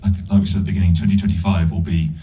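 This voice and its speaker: A man with a very dark, muffled tone puts questions to a guest at a live event in a conversational style. The recording is dull and he sounds set back from the microphone. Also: deep voice, little pitch movement, very fast. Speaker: interviewer. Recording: dull, distant mic, faint noise.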